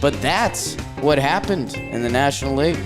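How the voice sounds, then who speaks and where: A young man co-hosting a laid-back sports show, joking as he runs through scores with a voice that leaps up and drops low. He sounds mid-pitched and conversational, with faint music sitting behind him. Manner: jokey, animated, quick swings between high and low voice. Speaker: podcast co-host. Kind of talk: casual sports chat.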